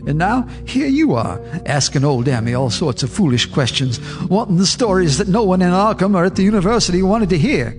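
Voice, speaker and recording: An actor playing a half-mad old farmer in a radio drama, telling his tale in a husky rural drawl. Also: sits high, lively intonation, fairly quick, hardly any pauses, big-room sound, over music. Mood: wry. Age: older. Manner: confiding, eerie monologue.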